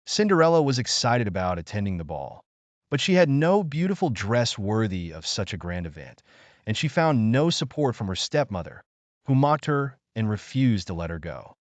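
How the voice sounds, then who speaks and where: A man with a low voice reads a children's bedtime story from a script. His pitch moves widely as he narrates the fairy tale. The recording is close and dry. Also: big pitch swings, normal speed, dry recording.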